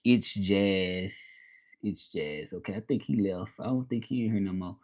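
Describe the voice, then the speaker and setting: A man's voice: deep voice, normal speed, a little mellow. Rambling Southern US man chatting live. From Southern United States. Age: 30s. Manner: rambling, repeats himself, reads out and answers chat. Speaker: livestream host. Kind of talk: casual livestream chat with viewers.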